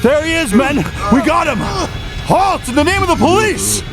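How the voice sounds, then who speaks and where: A man's voice: extremely high pitch, sing-song, moderate pace, gravelly, over loud music, full character voice. A man playing a frantic policeman in an audio drama, barking commands. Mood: frantic, commanding. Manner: shouted commands.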